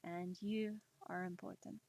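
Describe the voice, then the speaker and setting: Woman streamer, slow and soft-spoken, mid-range voice, encouraging chat. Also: medium pitch, flat delivery, vocal fry. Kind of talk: casual chat while drawing on stream.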